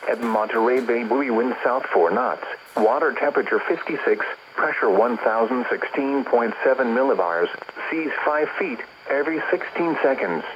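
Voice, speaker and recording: A clearly noisy weather radio broadcast carries a synthetic male announcer with an American accent. He reads a bulletin at a medium pitch, in a formal and measured way, like an automated service. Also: lo-fi sound. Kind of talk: scripted weather bulletin reading.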